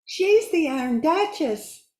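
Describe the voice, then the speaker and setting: An earnest, worried niece from an old-time radio play , acted by a woman, in an echoing room. Her voice is high and very lively, with emphatic, measured phrasing. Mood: indignant. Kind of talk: amateur old-time radio drama acting.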